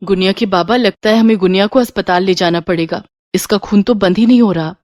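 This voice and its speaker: A woman's voice: medium pitch, brisk, a little mellow, no echo, studio quality, close to an everyday voice. A woman voicing a concerned village wife and mother in a storybook tale, urgent in manner. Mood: worried.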